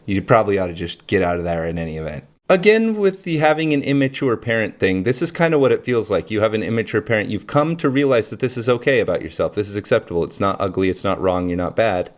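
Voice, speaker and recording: A man with a low voice hosting his own channel, talking through ideas in a rambling, reflective monologue full of fillers and frequent laughter. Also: expressive, fast, few pauses. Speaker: channel host.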